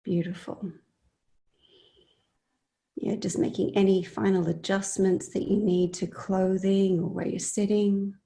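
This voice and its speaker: A woman leading a guided meditation in a soft, sometimes breathy voice, soothing and gentle, leaving long pauses between phrases. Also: mid-range voice, little pitch movement.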